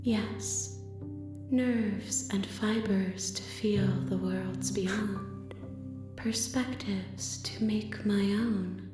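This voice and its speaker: A woman voicing a serene, ancient otherworldly being in a visual novel game, solemn and breathy. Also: mid-range voice, fairly even intonation, roomy sound, music in the background. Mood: serene, grave. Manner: slow, solemn, cryptic. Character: vast, serene, ancient otherworldly female entity, enigmatic.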